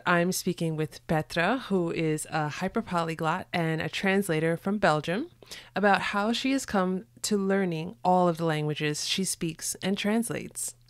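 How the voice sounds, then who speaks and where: A woman's voice: fairly low pitch, no room sound, studio quality. A woman hosting a podcast, reading an upbeat scripted introduction and then chatting with a guest. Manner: upbeat, enthusiastic. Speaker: podcast host.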